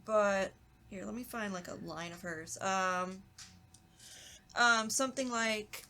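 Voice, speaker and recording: A young adult woman, a voice actress guest, chatting casually in an animated, giggly, self-deprecating way. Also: medium pitch, slow, slight background noise. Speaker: voice actress guest on a podcast talkback. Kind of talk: casual chat.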